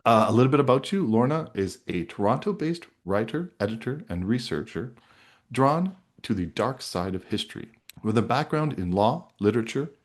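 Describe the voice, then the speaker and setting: A man hosting a podcast interview, moving between introductions and conversational questions. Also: medium pitch.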